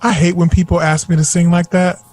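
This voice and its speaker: A young would-be jazz vocalist, played by a man in a present-day audio drama. His voice sits very high for a man, and he comes across as sassy and a little campy, with self-doubt underneath. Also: level tone, fairly quick, darkish tone, soft background music, close to an everyday voice. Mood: sassy, defensive. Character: sassy, insecure young male aspiring jazz singer.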